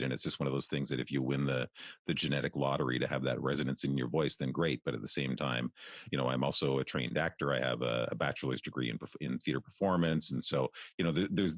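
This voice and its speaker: A male voice actor chatting in an interview, relaxed and talkative, often restarting his sentences. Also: a bass voice, dry recording, studio quality. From outside the United States. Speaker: guest voice actor. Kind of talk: casual interview chat.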